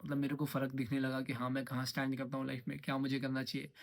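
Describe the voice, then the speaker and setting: A man's voice: medium pitch, monotone, rapid-fire, vocal fry. A young man in his twenties from Uttarakhand, talking casually to camera in a rambling vlog and slipping English phrases in. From Uttarakhand, India. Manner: rambling, mixes English phrases, repeats himself. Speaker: trading vlogger.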